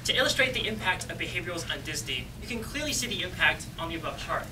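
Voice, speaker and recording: A woman's voice: lowish voice, lively intonation, fast. A young woman presenting on stage, engaging the audience with questions and playful asides. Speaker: student presenter. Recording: distant voice with room noise.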